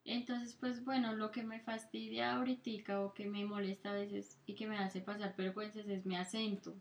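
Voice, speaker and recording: A woman's voice: mid-range voice, little pitch movement, moderate pace, highs cut off. A Colombian woman with a Colombian Spanish accent, co-hosting a casual podcast and explaining things at length with many fillers. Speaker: podcast co-host. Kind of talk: casual conversational interview.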